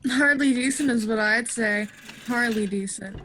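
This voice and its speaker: A woman plays a tart, gossiping working-class thief in a radio play. Her voice is fairly high and colloquial, on a faintly noisy home call recording with clicks. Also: measured pace, pushed and bright.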